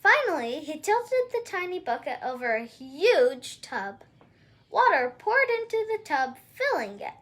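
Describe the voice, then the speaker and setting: A woman reads a children's tale aloud. Her voice is strikingly high, almost childlike, and very lively in its rise and fall. She takes her time over each phrase. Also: a little slow. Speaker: storybook reader. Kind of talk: expressive read-aloud children's storytelling.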